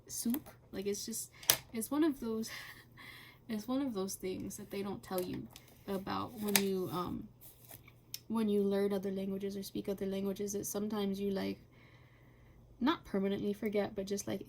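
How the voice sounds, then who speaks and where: A woman chatting casually and rambling while coloring, halting often with fillers and laughing between thoughts. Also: medium pitch, darkish tone, a little hiss or hum. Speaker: channel host.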